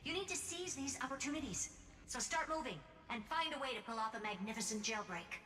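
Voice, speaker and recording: An actress playing a haughty, impatient genius scientist in a video game, brisk and commanding. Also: female voice, high-pitched, lively intonation, fairly bright tone, slight background noise. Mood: urgent, commanding. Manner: brisk, commanding, self-assured. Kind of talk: scripted game voice acting. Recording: distant echoing transmission under music.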